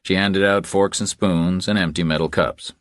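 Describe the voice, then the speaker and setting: A man's voice: deep voice, fairly even intonation, moderate pace, no echo, clean studio sound. A man reading a thriller novel as scripted audiobook narration.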